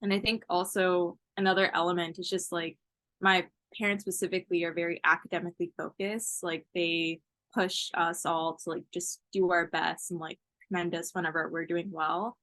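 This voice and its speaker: A woman's voice: fairly low pitch, flat delivery, moderate pace, a bit bright, dry recording. A woman in her twenties, a college student from Ethiopia raised in Texas, answering interview questions casually with lots of fillers. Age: 20s.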